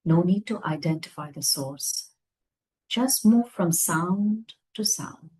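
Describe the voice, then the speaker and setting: Female meditation guide, low-pitched and breathy-husky, gentle delivery with spacious pauses. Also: expressive. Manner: gentle, unhurried phrases with long pauses. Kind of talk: guided meditation, slow soothing instruction.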